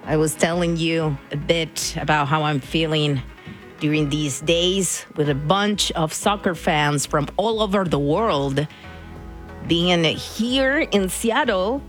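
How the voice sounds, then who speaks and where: A woman's voice: low voice, slow pace, soft background music. A woman from Argentina with an Argentine Spanish accent, chatting casually as a radio DJ and slipping into Spanish words.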